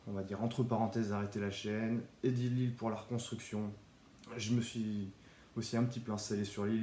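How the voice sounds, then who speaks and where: Young man with a cold, mellow low voice, chatty card vlog in an echoey room. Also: a little hiss or hum. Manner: relaxed, chatty, with small restarts. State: has a cold, stuffy. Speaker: Pokémon card collector YouTuber. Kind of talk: casual card showcase vlog.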